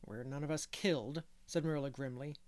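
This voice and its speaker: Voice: mid-range voice, steady tone, a little fast, close to an everyday voice. A reader voicing a stern, solemn older woman in an audiobook of a classic novel. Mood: grim. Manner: grim, solemn.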